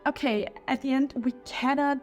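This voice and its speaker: A woman's voice: sits high, fairly even intonation, moderate pace, darkish tone, soft background music. A woman whose first language is German speaks English with a German accent, hosting a history channel in a casual, opinionated video review with small slips and restarts.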